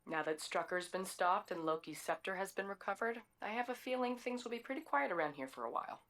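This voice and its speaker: A woman playing a calm, wry narrator-guide in a superhero video game, giving scripted narration. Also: mid-range voice, quick pace.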